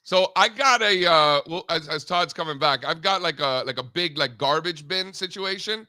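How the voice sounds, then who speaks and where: Joking guy with a big radio voice, bright, kinda high. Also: moderate pace. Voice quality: announcer-like radio voice. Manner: joking, profane banter. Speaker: co-host. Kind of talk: casual chat.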